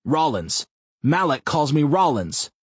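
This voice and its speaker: A man's voice: fairly high pitch, big pitch swings, a little slow, breathy edge, no room sound, pitched above the performer's own voice, full character voice. A male narrator voicing a frightened man who stammers and pleads in an audiobook. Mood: scared, pleading. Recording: muffled lo-fi audio.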